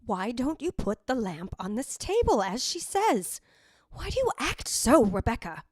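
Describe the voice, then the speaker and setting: An actress playing a tense, imperious sister in an old-time radio style ghost drama. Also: female voice, on the high side, very animated, a little slow, a little mellow, dry recording, studio quality. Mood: sharp, impatient. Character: Caroline, tense, imperious sister hiding dread.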